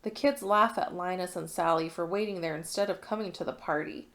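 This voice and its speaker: A woman narrating a children's picture book in an expressive read-along style. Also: on the low side, fairly quick. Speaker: storybook reader.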